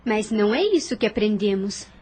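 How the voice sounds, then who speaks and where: A woman's voice: medium pitch, animated, fairly quick, a little mellow, slightly rough. An actress playing a sweet, earnest young daughter who pleads with her father in an audio drama. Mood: curious.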